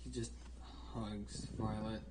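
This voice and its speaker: An unhurried, halting speaker improvises casual role-play during a game livestream. Sentences often trail off. Keyboard clicks and faint noise sit behind the voice. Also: mid-range voice, little pitch movement.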